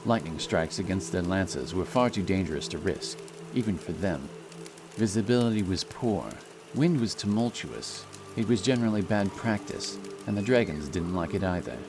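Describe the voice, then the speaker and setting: A man with a very low voice narrates a fantasy tale. His delivery is solemn and measured, with music clearly playing underneath. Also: lively intonation. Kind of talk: scripted fantasy audiobook narration. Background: music and rain sound effects.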